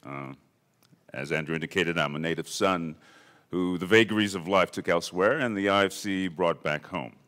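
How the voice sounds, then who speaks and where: A man from Ethiopia giving a prepared conference talk in a measured, deliberate way. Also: deep voice, a bit bright. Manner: measured, deliberate delivery with lists. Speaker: conference speaker. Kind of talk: prepared keynote speech. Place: conference hall.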